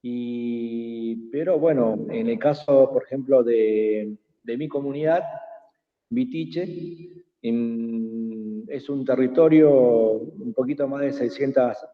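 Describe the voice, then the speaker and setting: Quechua-native man, unhurried, halting; tinny call audio with a long reverb tail. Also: mid-range voice. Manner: hesitant, many pauses and fillers. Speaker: invited speaker. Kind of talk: slow reflective talk in an online workshop. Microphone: video call.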